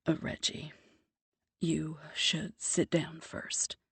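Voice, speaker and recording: A woman acts out a young man in a storybook reading, a brother with a grave, soothing, low voice that turns impatient. The sound has gravelly fry and a husky edge, recorded close and dry. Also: very slow, no echo, heavily acted.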